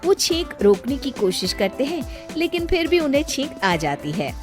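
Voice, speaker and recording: A woman's voice: high-pitched, very animated, normal speed, over music. A woman reading scripted story narration as the narrator of a family tale.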